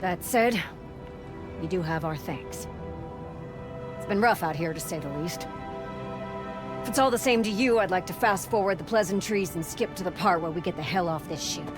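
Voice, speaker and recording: An actress playing a battle-weary, no-nonsense army lieutenant with a dry, businesslike delivery in a game cutscene. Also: female voice, fairly low pitch, moderate pace, long pauses, over music, close to an everyday voice. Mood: hard-nosed. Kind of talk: video game cutscene acting.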